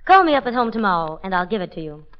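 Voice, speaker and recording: A woman's voice: medium pitch, big pitch swings, clear, resonant tone, close to an everyday voice. An actress playing a coy, teasing lady singer in 1940s radio comedy banter. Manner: coy, unhurried. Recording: muffled vintage radio recording.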